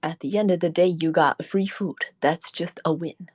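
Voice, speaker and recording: Low-voiced woman in a sleepy stream chat. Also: moderate pace, slightly rough, dry recording, studio quality. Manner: relaxed, rambling, laughs often. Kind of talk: casual late-night stream chat.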